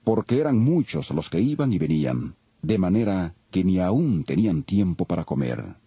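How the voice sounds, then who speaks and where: Male Bible narrator, low and warm, solemn storytelling; lo-fi with faint music. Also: big pitch swings, normal speed, breathy edge, no echo. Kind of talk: dramatized scripted Bible narration. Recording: dull lo-fi audio, faint music.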